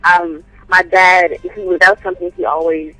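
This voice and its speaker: A woman poet calling in to an interview, answering thoughtfully with frequent pauses and fillers. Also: fairly low pitch, flat delivery, pushed and bright, dry recording, faint music behind, poor audio. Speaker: guest poet and writer. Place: calling in from home by phone.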